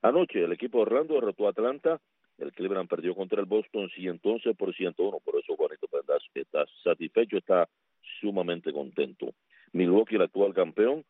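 A man with a very deep voice reads sports scores in a lively, chatty way. He sounds dry and close, and the sound is thin and tinny, like a phone line. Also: slightly rough, no room sound. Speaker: sports reporter. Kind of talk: radio sports news.

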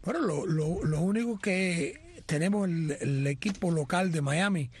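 A man's voice: high-pitched, lively intonation, a little slow. A man co-hosts a sports show, moving between panel talk and recap. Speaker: sports show co-host covering boxing.

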